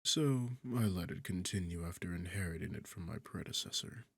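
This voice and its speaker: A man's voice: low-pitched, fairly quick, no room sound, full character voice. A man playing a sarcastic, seductive, arrogant demon king in an intimate ASMR fantasy roleplay, teasing and condescending as he talks. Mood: haughty, matter-of-fact. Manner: sardonic, condescending, teasing, smooth-talking. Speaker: audio roleplay performer.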